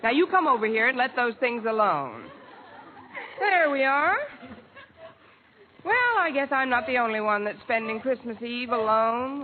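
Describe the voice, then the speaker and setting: A woman's voice: fairly high pitch, phone-quality sound, close to an everyday voice. An actress playing a wry, wisecracking single woman in a mid-century radio sitcom, on an old recording. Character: wry single woman alone with her cat. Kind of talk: 1940s-50s radio sitcom acting and narration. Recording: noisy, band-limited old radio recording.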